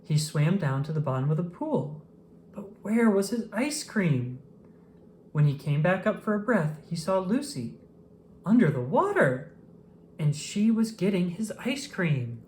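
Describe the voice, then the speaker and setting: A woman reading a children's picture book aloud in an expressive storytelling manner. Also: lowish voice, measured pace, a little hiss or hum. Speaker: storybook reader. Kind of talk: children's read-aloud narration.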